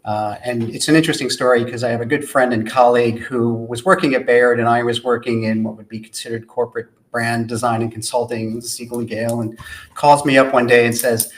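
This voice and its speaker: A man of middle pitch answers interview questions as a podcast guest. He speaks softly and calls himself a low talker. His answers are measured and articulate, and he often builds them up as lists. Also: little pitch movement. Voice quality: low, soft-spoken.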